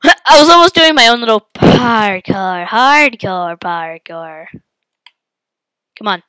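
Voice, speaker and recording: Congested woman, gaming commentary, excitable yelps, bright forceful voice, slightly high, dry close sound. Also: slow pace, clear, resonant tone, no room sound. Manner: excitable, yelps and exclaims at the game. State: congested with a head cold. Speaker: gaming channel host. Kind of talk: live gameplay commentary.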